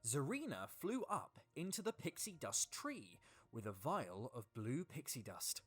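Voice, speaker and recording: A woman reading a children's storybook aloud in an expressive, dramatic way. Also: a bass voice, unhurried, husky. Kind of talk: scripted children's storybook narration. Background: music.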